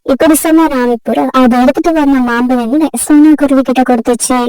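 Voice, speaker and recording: A woman's voice: high voice, brisk, recorded from a distance, a crowd in the background, rough recording. A woman narrating a scripted children's story as the storyteller.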